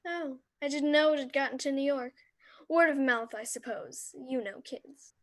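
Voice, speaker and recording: A woman's voice: sits high, moderate pace. A woman playing a breezy, gossipy suburban mother chatting in a radio play.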